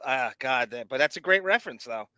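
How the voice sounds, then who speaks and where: A man's voice: medium pitch, lively intonation, brisk, bright, forceful tone, smooth, no room sound, dull audio. A man co-hosting a podcast in casual chat, joking and swearing, often stammering and restarting. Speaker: podcast co-host.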